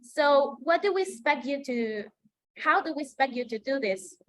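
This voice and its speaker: A sixteen-year-old girl from Peru presenting slides in an online meeting in a measured, explanatory way. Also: sits high, animated. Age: teenager, sixteen. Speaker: student presenter. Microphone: video call.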